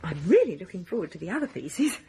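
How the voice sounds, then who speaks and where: Timid Englishwoman, creaky and very expressive. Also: lowish voice, slight background noise. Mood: subdued, anxious. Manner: hesitant, halting, genteel, repeating words. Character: timid, earnest, sensitive single woman who loves classical music. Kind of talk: radio drama acting.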